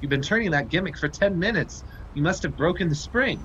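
An actor playing a wry, brisk, commanding amateur sleuth who talks in a clipped, teasing way in a radio play. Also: male voice, fairly high pitch, animated, dull audio, very noisy, lightly acted. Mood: urgent. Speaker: actor in live radio theatre. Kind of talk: vintage radio play acting.

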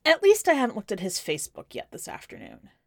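A woman's voice: on the high side, wildly swooping pitch, fast, creaky, no room sound, clean studio sound. A woman hosting a podcast and reading a first-person short story in a wry, conversational and emphatic way. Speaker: podcast host and story reader.

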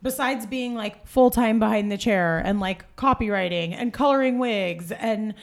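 A woman with a raspy voice, a hairdresser talking as a podcast guest in a blunt, jokey, casual way. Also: on the high side. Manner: blunt, jokey, quick to laugh. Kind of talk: casual chat.

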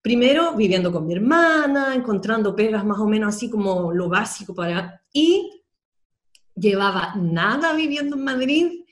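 A woman in her thirties with a Chilean Spanish accent, being interviewed and telling her life story in a lively, rambling way. She stacks up fillers, restarts and little asides as she goes. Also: mid-range voice, moderate pace. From Sweden. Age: 30s. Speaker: actress, interview guest. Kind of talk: casual interview.

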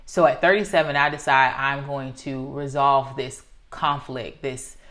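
A woman's voice: a bass voice, steady tone, measured pace, a bit bright. A woman in her thirties talking earnestly and reflectively in a casual conversation, sometimes acting out the voices she quotes. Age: 30s.

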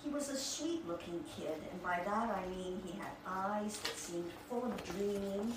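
A woman talking informally to a group and reading book passages aloud, with halting, admiring asides. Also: mid-range voice. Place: echoey room with a small group present. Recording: echoey room, distant mic, clear background noise.

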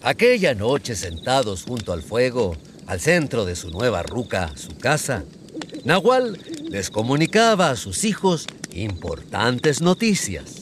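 A man's voice: mid-range voice, wildly swooping pitch, unhurried, slight background noise. A man narrating a family radio play as a storyteller.

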